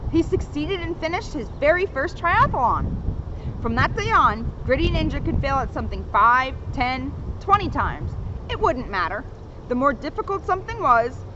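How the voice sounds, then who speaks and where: Woman with high, animated read-aloud voice, noisy outdoors. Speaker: police officer reading a children's book to viewers. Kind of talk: read-aloud of a children's picture book. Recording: noisy outdoor recording, dull lo-fi sound.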